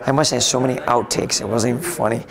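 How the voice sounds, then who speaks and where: A man being interviewed casually at a convention, talking with a raspy, slightly hoarse voice and rambling with lots of restarts. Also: mid-range voice, fairly even intonation, fast talker. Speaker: interviewee, tech blogger. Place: busy convention floor. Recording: noisy crowded convention hall. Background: crowd chatter.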